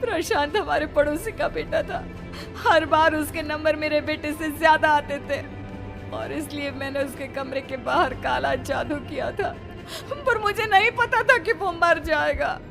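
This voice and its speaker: A woman's voice: high-pitched, a little slow, gravelly, music in the background. A woman playing a guilt-ridden, desperate mother in a horror cartoon, pleading and tearful.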